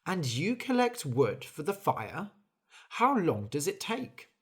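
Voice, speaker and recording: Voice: medium pitch, sing-song, slow pace, a bit bright, slightly rough, voice pushed up, full character voice. A reader voicing a curious stranger in a storybook who keeps asking the bird about her home life. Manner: wondering, inquisitive.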